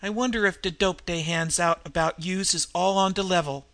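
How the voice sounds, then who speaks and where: A reader voicing a delirious female street tough who croaks out harsh, slangy threats. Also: mid-range voice, fairly even intonation, moderate pace, a bit bright, lightly acted. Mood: mumbling. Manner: snarling, threatening.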